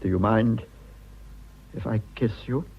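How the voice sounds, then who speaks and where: Earnest English husband, slightly muffled. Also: male voice, lowish voice, gravelly, breathy edge, heavily acted. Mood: firm, tender. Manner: formal, hesitant, measured. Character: earnest, self-sacrificing English politician husband, wounded but dignified. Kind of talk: radio drama acting. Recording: muffled, dull radio drama recording.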